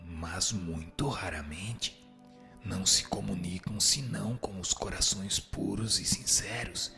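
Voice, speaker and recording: A man voicing a solemn spirit who teaches in a measured, declamatory, didactic way in a radio drama. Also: lowish voice, vocal fry, bright, forceful tone, exaggerated voice. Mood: grave, instructive. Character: solemn male spirit answering questions and dictating teachings. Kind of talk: dramatized reading of a spiritist text.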